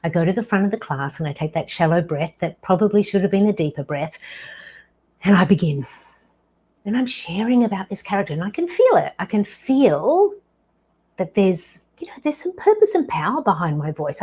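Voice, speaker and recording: A woman in her fifties hosting her own show, telling a personal story slowly and deliberately, with dramatic pauses. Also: medium pitch, darkish tone, husky. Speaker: podcast host.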